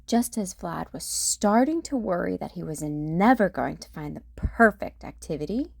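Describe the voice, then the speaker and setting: A woman hosting a children's storytime, reading a picture book aloud with an expressive, sing-song delivery. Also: lowish voice, normal speed, creaky, dry recording, studio quality.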